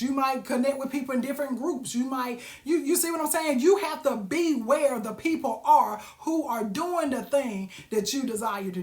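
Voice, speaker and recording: A woman, giving a folksy, preachy motivational talk on a live stream and asking her audience questions. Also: high voice. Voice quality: raspy. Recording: roomy echo.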